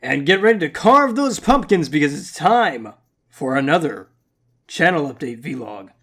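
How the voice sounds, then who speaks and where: A man's voice: a little high, very animated, unhurried, a bit bright. A young man hosting a gaming channel update vlog, talking casually in a rambling, playful, self-deprecating way, his voice slightly scratchy and nasal from congestion. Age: young adult.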